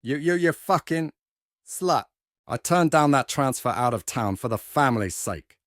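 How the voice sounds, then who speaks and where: A man's voice: mid-range voice, expressive, normal speed, heavy background noise, close to an everyday voice. A man voicing a crude, foul-tempered, self-pitying husband in a manga dub, talking belligerently. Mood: angry, aggrieved.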